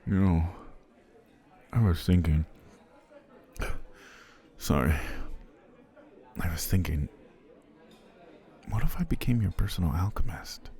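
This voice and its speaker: A man voicing a deep, gravelly potion seller. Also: slow pace, pauses often, exaggerated voice. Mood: persuasive. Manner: formal, courtly, flattering speech; drops into soft whispers. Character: smooth-talking male potion seller, formal and obsessive. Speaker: alchemist on a dinner date. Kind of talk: ASMR roleplay.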